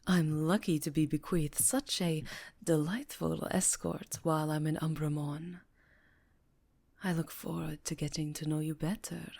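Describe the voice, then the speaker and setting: A woman's voice: deep voice, gravelly, a little mellow, dry recording, full character voice. A woman voicing a regal, sly vampire countess in an ASMR fantasy roleplay, formal and savoring her words with faint menace. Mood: playful, seductive.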